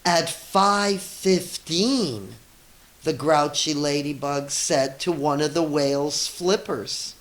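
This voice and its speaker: A woman reading a children's story aloud as a teacher, expressive and encouraging, with teaching asides and questions for young listeners. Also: deep voice, takes it slowly.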